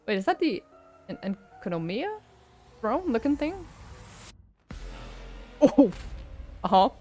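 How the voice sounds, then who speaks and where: A woman streamer giving casual, excited reaction commentary, gushing and swearing freely. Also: a little high, slow. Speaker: vtuber streamer. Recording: music behind the voice. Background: game trailer music.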